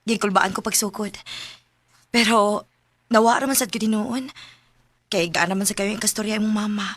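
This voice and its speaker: Woman acting a gentle young sweetheart, dry close sound. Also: mid-range voice, moderate pace, no echo, close to an everyday voice. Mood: relieved. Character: young woman, sweetheart and daughter, polite and guarded. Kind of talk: Filipino radio drama acting.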